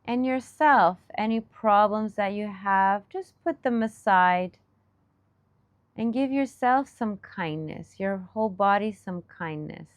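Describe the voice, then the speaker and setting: A woman leading a guided meditation in a soothing, gentle manner, speaking in short unscripted phrases with long pauses. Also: mid-range voice, no echo. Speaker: meditation teacher.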